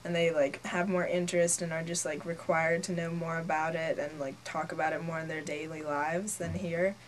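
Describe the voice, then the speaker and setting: A woman's voice: sits low, flat delivery, normal speed, slight background noise, low-quality recording. A nineteen-year-old woman from Norway, a native Norwegian speaker, answering interview questions in a casual way with lots of "like". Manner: casual, frequent "like" as filler.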